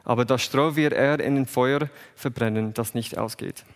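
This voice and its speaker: A man who grew up in England and the USA, with English as his first language, reads scripture and preaches in German with an English accent, pausing often and restarting words. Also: medium pitch, in a large hall. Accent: English-speaking. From England, raised in USA. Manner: halting non-native German with restarts. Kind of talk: bible reading and sermon.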